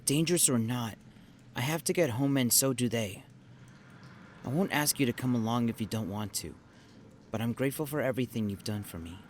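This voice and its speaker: A man's voice: medium pitch, fairly quick, slight background noise, lightly acted. An actor playing an earnest, determined former policeman stranded in a primeval world, in an audio drama. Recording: music under the voice.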